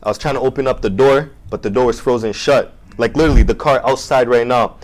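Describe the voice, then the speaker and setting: A man's voice: medium pitch, fast. A man hosting a casual podcast chat, talking in laid-back slang and asking his guests lots of questions. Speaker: podcast host.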